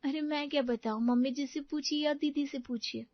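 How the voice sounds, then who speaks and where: A woman's voice: sits high, fairly even intonation, normal speed, no room sound, lo-fi sound. A woman playing a timid, grateful young orphan bride in an audio story, hesitant and deferential.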